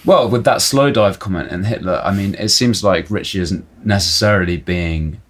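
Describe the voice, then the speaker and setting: A man's voice: sits low, normal speed. A man co-hosting a podcast, chiming in casually with an offhand question. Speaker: co-host.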